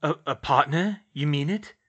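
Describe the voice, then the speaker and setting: A man's voice: fairly high pitch, moderate pace, fairly bright tone, husky, lo-fi sound, voice pushed up. A man voicing loyal, mischievous teenage wizard twin brothers in a story, in a casual, earnest way. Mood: weak, moved. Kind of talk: audiobook character voice.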